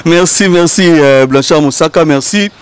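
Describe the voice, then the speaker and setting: A man's voice: fairly high pitch, normal speed, clear, resonant tone, no echo. A man hosting a studio talk show, thanking the guest, affirming him briefly and steering the topic with questions.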